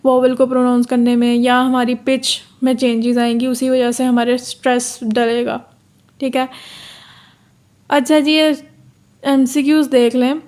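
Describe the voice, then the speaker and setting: High, level-voiced woman tutor. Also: a little mellow. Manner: reads English lines slowly, then explains them in Urdu; repetitive, checks in with "theek hai". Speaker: online course tutor. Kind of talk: lecture explaining course notes.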